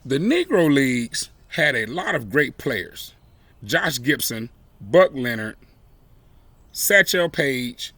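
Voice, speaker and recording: A man reading a children's story aloud expressively, with deliberate, emphatic phrasing and dramatic pauses. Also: medium pitch, fairly bright tone, no room sound. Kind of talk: expressive read-aloud storytelling with brief asides.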